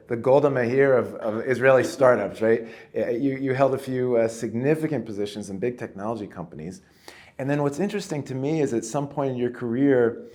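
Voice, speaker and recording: A man's voice: lowish voice, a little mellow. Stumbling, eager male interviewer. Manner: repetitive, stumbling restarts, enthusiastic. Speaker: interviewer, host.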